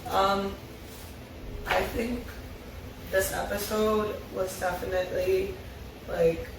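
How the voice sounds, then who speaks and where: A woman's voice: medium pitch, little pitch movement, slow pace, creaky, noisy background. A woman speaking as a fan, reading script lines aloud or chatting casually. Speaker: fan table reader and commentator. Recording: very echoey, far from mic, music behind.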